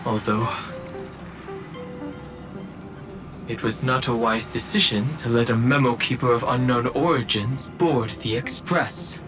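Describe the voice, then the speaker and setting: A man's voice: mid-range voice, normal speed, over music, lightly acted. Man playing a serious, reserved young adventurer. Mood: restrained, earnest. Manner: earnest, formal, restrained. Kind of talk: video game character voice acting.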